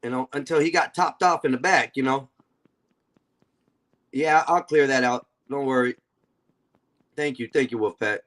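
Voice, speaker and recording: A man's voice: medium pitch, normal speed, long pauses, a bit bright. Blunt, combative man in his forties. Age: 40s. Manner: blunt and confrontational, swears freely. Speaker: stream host. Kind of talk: heated live-stream panel talk.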